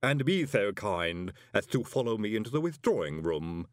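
A man voicing a stumpy, impassive manservant in an audiobook, formal, deadpan and deferential. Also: on the low side, dry recording, clean studio sound. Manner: deadpan, ceremonious, unhurried servility.

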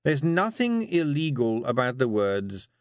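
A man voicing a toad who was once a lawyer, speaking in a slow, precise way. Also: medium pitch, sing-song, no room sound, clean studio sound, voice pushed up. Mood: patient.

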